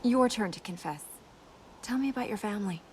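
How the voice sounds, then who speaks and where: A woman's voice: medium pitch, expressive, brisk, darkish tone, natural voice. Woman acting young game princess, earnest n composed, bit teasing, some ambience noise behind her. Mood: playful. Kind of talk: naturalistic video game dialogue.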